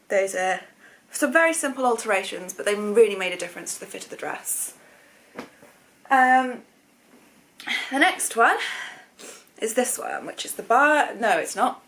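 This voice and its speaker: A British woman with a slightly croaky voice, hosting a chatty sewing vlog in an informal, rambling way, often correcting herself. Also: mid-range voice, animated, unhurried, long pauses, fairly bright tone. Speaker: sewing vlogger, channel host. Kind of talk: casual chatty vlog to camera.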